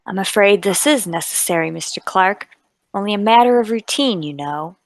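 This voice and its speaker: A woman playing a brisk, businesslike police inspector in a radio play table read, with a matter-of-fact manner. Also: medium pitch, expressive, no room sound, natural voice. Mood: calm authority.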